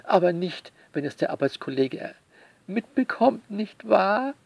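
A man speaks slowly in a fairly high, creaky voice, close and dry. He comments to his listeners with theatrical sarcasm and mock indignation, stretching key words for emphasis. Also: very animated, no echo. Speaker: author commenting on their own novel. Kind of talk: sarcastic spoken essay addressed to listeners.

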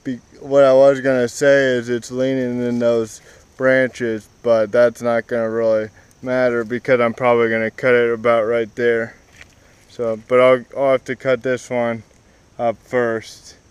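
A young man talks through a job out in a wooded yard, stopping and restarting often with plenty of ums and uhs. His voice is very smooth and clear and stays flat in pitch. The sound is dry and close, picked up by an action camera set on the ground. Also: no room sound. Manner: halting, many fillers and restarts. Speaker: vlogger. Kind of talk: casual explanation while working.

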